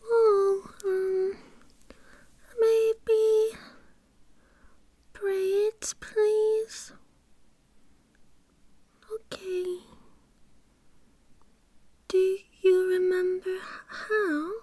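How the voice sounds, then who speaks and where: A woman with a British accent playing a shy, childlike, submissive girlfriend in an ASMR roleplay, soft and breathy, often whispering, timid and hesitant. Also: extremely high pitch, little pitch movement, drawn-out words, long pauses, dry recording, full character voice. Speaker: audio roleplay performer.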